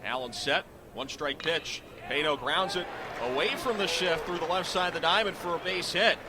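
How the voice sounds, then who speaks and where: A middle-aged man calling baseball live on the radio as play-by-play announcer, in clipped descriptive phrases that rise into excited calls. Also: a little high, moderate pace, background noise. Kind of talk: live radio sports commentary.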